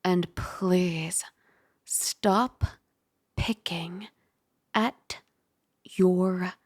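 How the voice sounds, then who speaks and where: A woman voicing a caring young dragon roommate in a soft ASMR roleplay, gently bossy and teasing as she gives close-mic instructions. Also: fairly low pitch, fairly even intonation, very slow, no room sound. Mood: gently scolding. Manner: gently bossy, teasing, puzzled by human ways. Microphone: close ASMR microphone.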